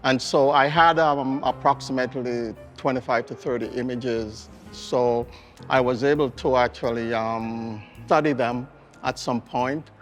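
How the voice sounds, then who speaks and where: Mid-pitched man explaining in an interview. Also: steady tone, measured pace, faint music behind. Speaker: portrait artist being interviewed. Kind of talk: conversational interview answers.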